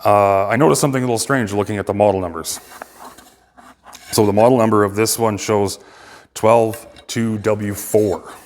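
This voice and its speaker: A man giving a casual, unscripted technical walkthrough as a repairer, halting as he thinks aloud. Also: fairly low pitch, steady tone, in a large hall. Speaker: speaker restorer presenting a repair video.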